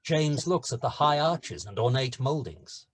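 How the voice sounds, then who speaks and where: A man's voice: mid-range voice, lively intonation, unhurried. A man narrating scene headings and stage directions from a script.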